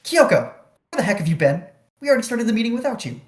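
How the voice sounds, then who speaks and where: A woman's voice: a little high, big pitch swings, quick pace, darkish tone. A woman in an amateur anime fan dub playing a suspicious, hot-tempered teenager, recorded in an echoey room. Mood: accusing.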